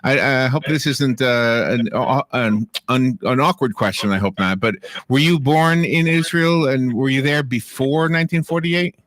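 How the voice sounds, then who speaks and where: Male radio host, even pitch, stumbling questions. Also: normal speed, hardly any pauses, dry recording. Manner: stumbling, restarts often. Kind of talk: radio interview.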